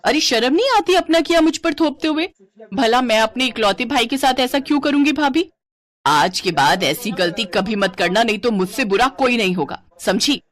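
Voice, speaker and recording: A woman's voice: mid-range voice, moderate pace, hardly any pauses, a bit bright, lightly acted. A woman voicing an arrogant, scheming young sister-in-law in a melodramatic, accusing manner for a story. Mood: feigned outrage.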